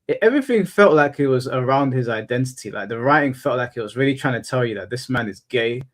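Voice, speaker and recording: A man hosting a laid-back panel discussion in a mid-range voice. His delivery stays monotone. He stops and restarts his sentences as he works out what he means. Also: medium pitch. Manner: halting, restarts often while thinking. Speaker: podcast host. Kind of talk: casual panel chat.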